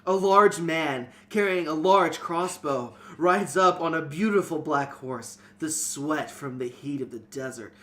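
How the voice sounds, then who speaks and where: A man's voice: fairly high pitch, unhurried, hardly any pauses, fairly bright tone. A man reading scripted narration for an amateur fantasy reader's theater.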